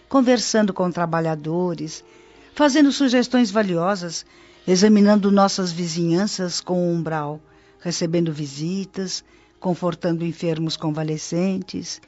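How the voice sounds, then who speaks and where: A devoted woman guide from a spirit colony in a Brazilian radio drama. Her voice sits in the middle-to-low range, with reverent, articulate explanations in a Brazilian accent. The sound is slightly dull and lo-fi, with music playing behind her. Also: a little mellow.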